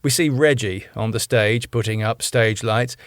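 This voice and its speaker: A British man reading the stage directions of his own sitcom script in a plain, measured way. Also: fairly low pitch, dry recording, clean studio sound.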